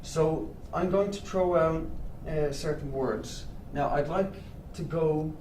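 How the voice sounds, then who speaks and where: A man's voice: on the high side, takes it slowly, darkish tone. An actor playing an earnest male therapist who leads an anger-management group and talks with many hesitant fillers. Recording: echoey room, distant mic, noisy.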